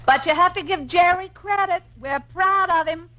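A woman's voice: very high-pitched, normal speed, fairly bright tone. A woman playing a playful, scheming wife in theatrical, chatty 1950s radio comedy style. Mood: brash, boastful. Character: scheming playful wife, posing as brash lowbrow nightclub singer. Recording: muffled noisy 1950s radio broadcast.

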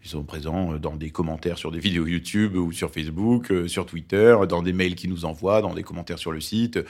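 A man's voice: low voice, brisk, darkish tone, husky. A man in his forties from Lebanon, a native Arabic speaker, talking thoughtfully as a journalist guest with many hesitations. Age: 40s. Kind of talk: interview conversation.

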